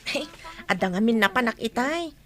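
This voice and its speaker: A woman's voice: a little high, animated, moderate pace, fairly bright tone. A woman playing a chatty, good-humoured village mother in a radio drama, with a folksy way of talking. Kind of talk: Ilocano radio drama acting. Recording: music under the voice.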